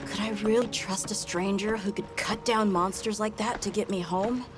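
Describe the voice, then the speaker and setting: An earnest young boy keeper, voiced by a woman, speaks with sincere determination in a video game cutscene. His voice sits at a middling pitch for a woman. He sounds steady, dutiful and eager to do his part. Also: normal speed, music in the background, natural voice. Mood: reflective.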